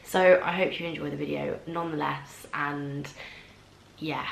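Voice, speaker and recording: A woman's voice: low voice, steady tone, measured pace, vocal fry, a little hiss or hum. A young woman chatting casually to camera as a vlogger, with a stuffy nose and lots of filler words. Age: young adult. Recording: roomy echo.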